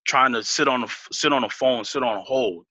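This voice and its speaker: A man's voice: mid-range voice, a little fast, pushed and bright, slightly rough, no echo. A man as an interview guest, laughing easily and explaining things step by step with many restarts. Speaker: business guest. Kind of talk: casual interview talk.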